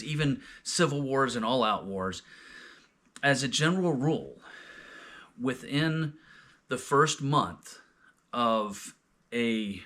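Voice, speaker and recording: A man's voice: mid-range voice, fairly bright tone. A man hosting a casual, unscripted discussion, often searching for words and restarting his sentences as he goes. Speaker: host of a scripture reading discussion.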